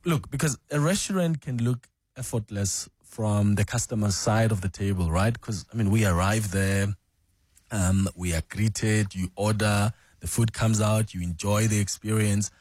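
A man hosting a morning radio talk show, relaxed and chatty, with frequent ums. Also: lowish voice, normal speed, no echo. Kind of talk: radio interview. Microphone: studio microphone.

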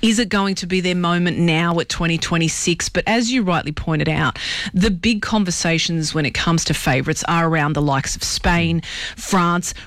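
A woman who grew up speaking Croatian first, talking football with bright enthusiasm. Her pitch swoops upward whenever she stresses a point, and the sound is close and dry. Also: moderate pace, no room sound. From Australia. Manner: animated, enthusiastic, pitch leaps up on emphasis. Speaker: football commentator guest. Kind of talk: casual radio sports chat.

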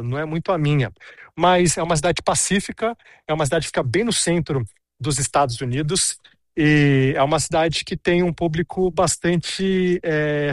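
A man in his thirties reports from a city street in a chatty, storytelling way, full of asides. His voice sits a little high. The sound is dry and close. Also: no echo. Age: 30s. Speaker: reporter on location. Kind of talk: casual on-location report.

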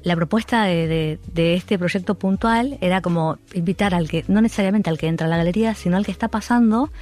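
A woman's voice: fairly low pitch, a little fast, darkish tone. A woman from Argentina with a Rioplatense accent, a guest who speaks in a casual interview with many fillers and restarts. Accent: Rioplatense Spanish. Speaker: art curator guest.

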